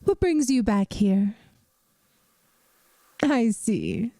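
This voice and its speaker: A woman playing a centuries-old vampire math teacher in an ASMR roleplay, gentle, wry and reassuring, with a soft, soothing, teacherly manner. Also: on the high side, huge pitch swings, drawn-out words, gravelly, no room sound, studio quality, exaggerated voice. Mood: gently welcoming.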